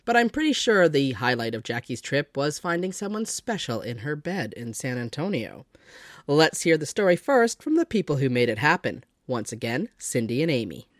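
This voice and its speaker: A male host reads a scripted introduction with upbeat energy. His voice sits a bit high and moves a lot. The sound is dry and close. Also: very animated, moderate pace, dry recording. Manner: upbeat announcer, introducing a segment. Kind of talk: scripted narration.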